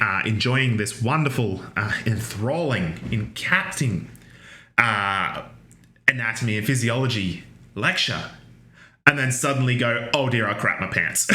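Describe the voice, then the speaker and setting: A man's voice: medium pitch, bright, forceful tone. Chatty male lecturer in an echoey room. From Australia. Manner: chatty, filler-heavy, joking asides. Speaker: university lecturer. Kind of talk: recorded anatomy lecture.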